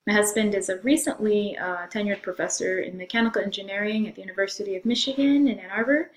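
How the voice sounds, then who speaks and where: A middle-aged woman from Zambia, a French teacher, informally presenting her course and often pausing mid-sentence. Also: mid-range voice, a little hiss or hum. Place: room in a house, indoors. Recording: echoey room sound.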